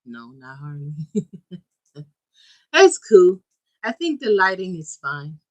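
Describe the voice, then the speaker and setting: A woman's voice: fairly low pitch, sing-song, slow pace, a little mellow, muffled recording. A chatty woman co-hosting a casual livestream, greeting viewers by name, recorded on a camera-mounted mic in a home toy museum room.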